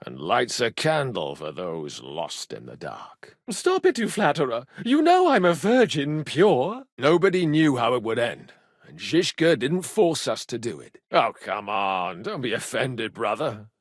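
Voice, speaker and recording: An actor playing a cheeky, good-humored medieval rogue and loyal younger brother in a video game, with colloquial, irreverent banter. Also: male voice, mid-range voice, big pitch swings, a little slow, dry recording, clean studio sound. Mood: relaxed, earnest. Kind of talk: video game dialogue acting.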